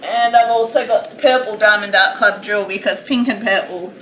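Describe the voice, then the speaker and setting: A woman chatting casually while live-streaming a craft hobby from home, rambling and self-deprecating, with a naturally loud voice. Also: mid-range voice, normal speed. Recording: roomy, distant mic, steady background noise.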